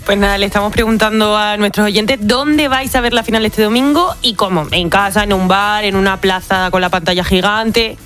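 A woman's voice: medium pitch, normal speed, few pauses, a bit bright. A woman with an accent from Spain co-hosting a radio show and presenting listeners' messages in casual chat. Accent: Spanish from Spain. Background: background music.

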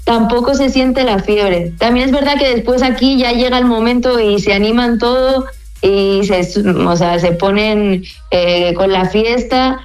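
A woman's voice: on the high side, unhurried, faint music behind, poor audio. A woman from Spain with a mixed Spanish and Mexican accent, speaking friendly and conversational as a correspondent on a remote link. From Spain (Asturias).

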